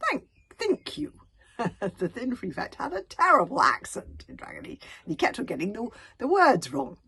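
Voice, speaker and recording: A woman's voice: a little high, wildly swooping pitch, moderate pace, a bit bright, slightly rough. A woman reading a children's story aloud with dramatic emphasis, occasionally stumbling over her words. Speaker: author reading own book aloud.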